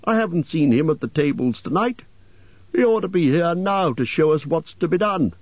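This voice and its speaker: A man voicing merry, impatient elves in an audiobook, exclaiming loudly and teasingly. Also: a little high, expressive, moderate pace, dry recording, muffled recording, pitched above the performer's own voice. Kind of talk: audiobook character voices.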